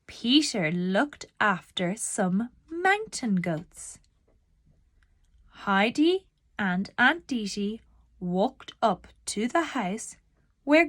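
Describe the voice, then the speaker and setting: A woman narrating a children's storybook aloud in a deliberate, expressive storytelling manner. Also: medium pitch, no room sound, clean studio sound.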